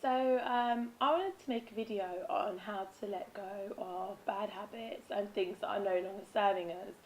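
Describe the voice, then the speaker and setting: A woman with a raspy, hoarse voice, chatting casually in a vlog about spiritual habits, with frequent ums and restarts. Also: mid-range voice, fairly even intonation, moderate pace, slight background noise, rough recording. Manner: rambling, with many ums and self-corrections. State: hoarse, losing her voice. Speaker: vlogger.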